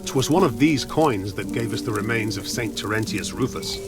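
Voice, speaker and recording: An actor playing a humble, helpful innkeeper in a medieval video game, speaking deferentially. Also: male voice, on the low side, lively intonation, normal speed, music in the background, close to an everyday voice. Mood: helpful, explaining.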